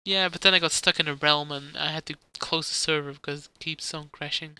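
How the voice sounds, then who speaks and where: A man's voice: on the high side, fairly even intonation, fairly quick, sharp tone, clean tone, no echo. A man in his twenties co-hosting a casual podcast, chatty and nostalgic, laughing often. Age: 20s. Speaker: podcast co-host. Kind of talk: casual chat.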